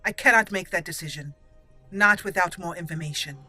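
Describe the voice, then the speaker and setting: A woman voicing a resolute young female elf mage in a game roleplay, speaking formally, deliberately and solemnly. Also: lowish voice, sharp tone, faint music behind. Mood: firm, solemn. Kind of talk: improvised video game roleplay.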